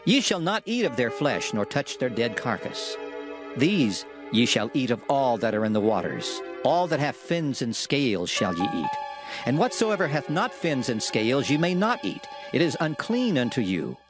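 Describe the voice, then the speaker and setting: A man giving a solemn, measured, declamatory reading of scripture in a dramatized production. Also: mid-range voice, big pitch swings. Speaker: scripture reader. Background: background music.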